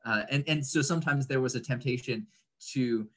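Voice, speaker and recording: A man, talking reflectively as an interview guest, articulate and often pausing mid-sentence to pick his words. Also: medium pitch, a bit bright. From Indonesia, grew up there as an American third culture kid. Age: 30s. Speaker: interview guest, writer and speaker.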